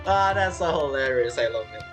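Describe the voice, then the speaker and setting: Male streamer, playful, reactive, casual gameplay commentary, lively intonation, faint background music. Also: medium pitch, a little fast. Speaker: game streamer. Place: indoors at a streaming setup.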